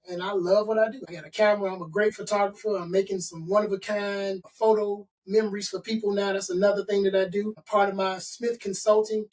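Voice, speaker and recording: A man's voice: sits high, darkish tone, recorded from a distance, poor audio. A middle-aged man from Chicago, a consultant and author being interviewed, talking in an emphatic, motivational way and stacking points in lists. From Chicago, USA. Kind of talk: interview conversation.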